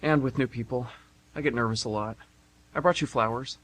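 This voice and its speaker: Male actor as anxious sweet date, husky voice, stammering, rambling, radio play. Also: medium pitch, brisk, a bit bright, close to an everyday voice. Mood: nervous, eager. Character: nervous, awkward, sweetly earnest young man on a date. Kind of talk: amateur radio theatre acting.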